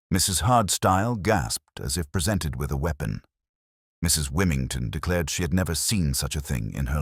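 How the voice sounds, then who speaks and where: A man narrating a cosy mystery story in a measured, formal, literary manner. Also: deep voice, no echo, studio quality. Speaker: audiobook narrator.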